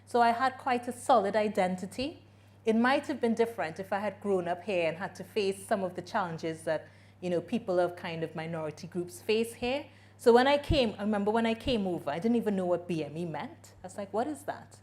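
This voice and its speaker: A woman's voice: mid-range voice, fairly quick, a little mellow. A middle-aged woman from Trinidad with a Trinidadian accent, answering audience questions at a conference in an earnest, conversational way. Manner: earnest, conversational, with frequent "you know" and restarts.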